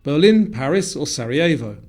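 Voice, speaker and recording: Mellow higher-voiced male quiz narrator. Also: very animated, fairly quick, faint music behind. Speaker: quiz question reader.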